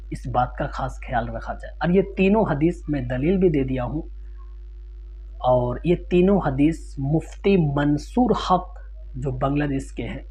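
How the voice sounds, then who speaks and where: Man giving religious instruction over loud hum. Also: a little high. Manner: didactic, explanatory, restating points in simpler words. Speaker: Islamic religious teacher answering a ruling question. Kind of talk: informal religious lecture citing sources. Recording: dull lo-fi sound with loud hum.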